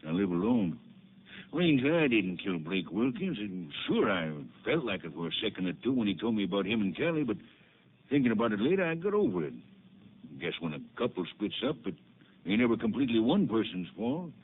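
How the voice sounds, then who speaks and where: A weary, defensive middle-aged rancher with a plainspoken drawl. His voice is low and husky. It is heard over a thin, tinny old radio broadcast. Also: sing-song, brisk. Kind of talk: 1950s radio drama acting.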